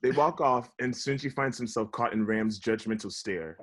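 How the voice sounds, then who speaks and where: A man's voice: medium pitch, normal speed, fairly bright tone. Male narrator, middle register, scripted stage directions for an informal comedy read-through.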